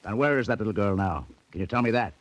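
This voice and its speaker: An American actor playing a stern, suspicious police lieutenant in a clipped, gruff, commanding manner in 1940s radio drama style. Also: male voice, on the low side, animated, fast talker, no echo. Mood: suspicious, pressing.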